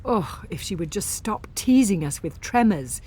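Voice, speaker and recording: A woman narrating a story in the first person, with an expressive, wry and theatrical delivery. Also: medium pitch, normal speed, slightly rough. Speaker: audiobook narrator.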